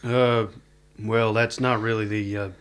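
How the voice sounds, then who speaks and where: A man's voice: on the low side, level tone, unhurried, a bit bright. Man playing a wary, sceptical bounty hunter. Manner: wary, plain questioning. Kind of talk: radio drama acting.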